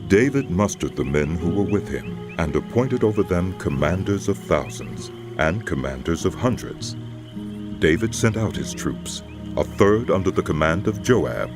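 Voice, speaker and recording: A man giving solemn, measured dramatic narration of scripture as a scripted audio production. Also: low voice.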